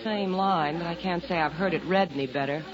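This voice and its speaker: An actress playing a cool, jaded, sardonic nightclub singer in 1940s-50s radio drama style. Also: female voice, on the low side, a little fast, a crowd in the background, natural voice. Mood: world-weary, dismissive. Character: jaded, guarded nightclub singer, world-weary femme fatale. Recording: dull old radio recording, music behind.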